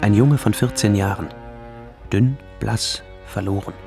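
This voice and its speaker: Radio play narration: brooding screenplay reader, lowish, terse, over prominent string music. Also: male voice, creaky. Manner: deliberate, terse stage directions. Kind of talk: scripted radio drama narration.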